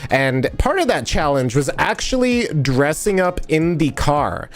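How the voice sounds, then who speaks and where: A man's voice: fairly high pitch, sing-song, measured pace, faint music behind. A man streaming live, still sniffly from a cold, chatting in a rambling way and answering viewers' comments as he goes. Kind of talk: casual live-stream chat while editing.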